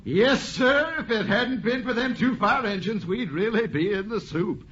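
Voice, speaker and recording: A man's voice: high-pitched, normal speed, a bit bright. An actor playing a folksy, satisfied old-time townsman with a rustic way of talking in a 1940s radio drama. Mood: proud satisfaction. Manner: rustic, colloquial. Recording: dull, hissy vintage radio recording.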